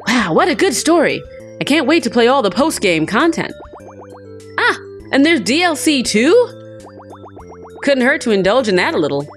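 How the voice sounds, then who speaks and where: A woman's voice: a little high, very animated, moderate pace, long pauses, faint music behind. A woman voicing a cute, dreamy young mermaid girl in a visual novel, chirpy and girlish. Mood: chatty, cheerful.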